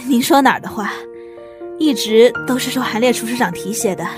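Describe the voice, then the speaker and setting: An actress playing a composed, ambitious young hotel cook in a Mandarin radio drama, measured and coolly cynical. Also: female voice, on the high side, over music, natural voice. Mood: polite, assured. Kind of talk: Mandarin audio drama acting with narration.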